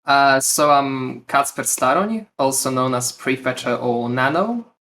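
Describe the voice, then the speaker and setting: A man's voice: medium pitch, expressive, a little slow, sharp tone. A man, the lead developer guest on a podcast, speaking hesitantly with many fillers. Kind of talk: casual podcast interview.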